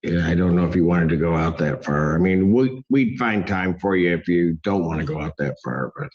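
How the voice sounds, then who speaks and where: Male judge, teasing and wry, lowish voice, dull lo-fi courtroom sound; casting note. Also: brisk, darkish tone. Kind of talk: joking courtroom banter.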